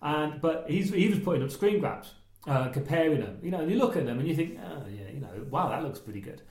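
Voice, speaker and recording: A man co-hosting a casual film podcast, enthusiastic and rambling, a little hoarse as he is losing his voice. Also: on the high side, lively intonation, quick pace, darkish tone, reverberant. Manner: enthusiastic, rambling, many restarts. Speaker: podcast co-host.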